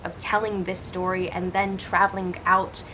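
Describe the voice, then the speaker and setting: A woman's voice: on the low side, normal speed, noisy background. Earnest young woman in an interview. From Hawaii. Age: 20s. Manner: earnest, expressive, with repeated restarts. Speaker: actress and interview guest. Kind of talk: interview answers.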